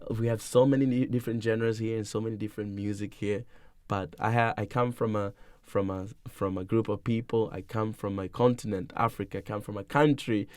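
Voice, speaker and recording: A man's voice: fairly low pitch, steady tone, fairly quick, hardly any pauses, a little mellow, clean tone, no echo. A young man from Tanzania, a musician being interviewed, chatting casually and playfully, laughing often and rambling loosely. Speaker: musician guest.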